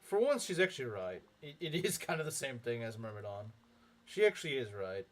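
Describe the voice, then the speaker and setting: Lively, rambling young man streamer. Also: medium pitch, a little fast. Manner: rambling, heavy filler words, frequent chuckles. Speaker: game streamer. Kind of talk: casual live-stream commentary reading chat.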